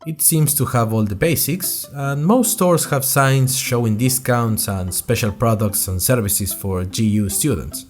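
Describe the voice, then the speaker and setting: A man's voice: mid-range voice, a little slow, few pauses, darkish tone, soft background music, natural voice. A man playing an earnest young college student who tells his story in a wry, conversational way, as host of an audio drama diary. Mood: upbeat. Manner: conversational, wry, addresses listeners directly. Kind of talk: scripted first-person audio drama narration.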